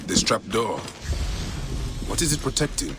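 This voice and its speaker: An actor voicing a determined Egyptian warrior in a video game, brooding and muttering to himself. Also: male voice, lowish voice, expressive, measured pace. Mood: pondering. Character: determined male Egyptian warrior hunting a villain.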